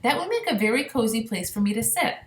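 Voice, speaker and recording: A woman's voice: fairly high pitch, sing-song, fast, voice pushed up. Storybook little girl, read by a woman: polite, spirited, direct, recorded in an echoey room. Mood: firm, polite. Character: small young girl, polite, spirited, questioning.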